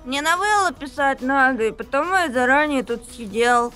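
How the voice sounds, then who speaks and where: A woman voicing a lazy young male author character in a visual novel, in a deadpan, matter-of-fact way. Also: high-pitched, moderate pace, soft background music.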